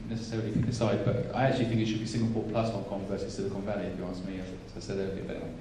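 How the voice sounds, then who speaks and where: A man's voice: fairly low pitch, steady tone, very fast, mellow, slight background noise. A man speaking bluntly and emphatically as a panelist, often restarting his sentences. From England. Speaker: panelist, angel investor. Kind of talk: panel discussion. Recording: echoey hall, distant mic.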